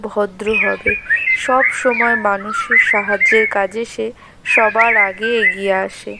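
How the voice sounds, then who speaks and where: A woman's voice: mid-range voice, steady tone, a little slow, heavy background noise. A woman reading a scripted story as its narrator.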